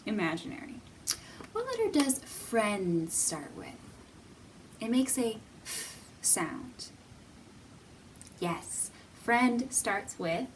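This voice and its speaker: A woman hosting a children's storytime, speaking in simple, deliberate, teacherly phrases with pauses and questions for young viewers. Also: a little high, recorded from a distance, a little hiss or hum, poor audio. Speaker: children's library storytime host.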